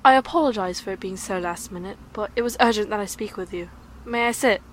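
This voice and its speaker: A woman's voice: mid-range voice, a little fast, slight background noise, close to an everyday voice. A woman playing a serene, elegant, mysterious magical lady in an audio drama, speaking formally and politely. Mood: serene, courteous.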